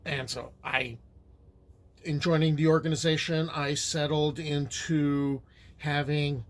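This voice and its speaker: Slow, rambling older man. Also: a little high, level tone. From United States. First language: Ukrainian. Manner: rambling and conversational, with asides. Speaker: history storyteller. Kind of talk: casual spoken history talk.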